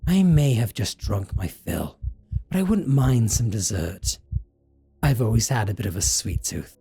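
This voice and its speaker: A man's voice: fairly low pitch, very animated, unhurried, mellow, breathy edge, full character voice. A man playing a possessive, menacing, seductive vampire in a roleplay audio drama, speaking with theatrical, mock-tender threat.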